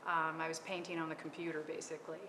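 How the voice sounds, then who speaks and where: A middle-aged woman with a low voice speaks hesitantly, filling her pauses with ums. She is on a live panel in an art gallery, and her voice rings with a long reverb over a clear hum of noise. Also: flat delivery. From California. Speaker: panel artist. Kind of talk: unscripted panel talk.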